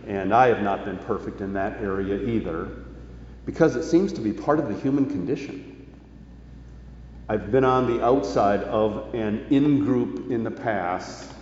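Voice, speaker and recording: Male pastor preaching, pitch moves around a lot, stresses key phrases, roomy slightly muffled church recording. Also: darkish tone. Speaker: pastor preaching to his congregation. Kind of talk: sermon, reading and explaining scripture. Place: large reverberant indoor worship space. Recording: echoey church hall, muffled, faint noise.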